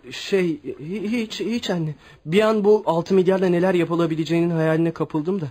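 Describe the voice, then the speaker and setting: An actor playing a poor schoolboy son in a radio play, excitable and wistful. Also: mid-range voice, moderate pace, natural voice. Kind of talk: Turkish radio theatre acting.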